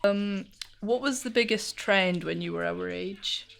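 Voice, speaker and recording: Young gravelly-voiced interviewer girl. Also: mid-range voice, a little slow. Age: teens. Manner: hesitant, soft, with fillers. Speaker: youth interviewer. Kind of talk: casual interview questions.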